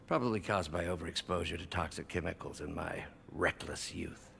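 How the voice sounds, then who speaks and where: A grave older scientist and mentor, with a deep voice full of fry. He speaks wearily and carefully, resigned to his decline, over faint ambient noise in a game cutscene. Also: a little fast, a bit bright, full character voice. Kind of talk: video game cutscene acting.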